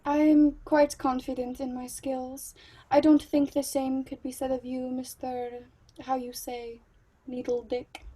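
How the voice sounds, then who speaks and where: A woman as a cool, mellow femme fatale. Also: high-pitched, level tone, a little slow. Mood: haughty. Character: cool, seductive, haughty Russian femme fatale. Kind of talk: comedic live play reading.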